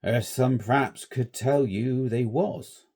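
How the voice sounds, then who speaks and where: A man voicing a grave, superstitious old shepherd who talks slowly in rustic dialect. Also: medium pitch, a little mellow, pitched above the performer's own voice.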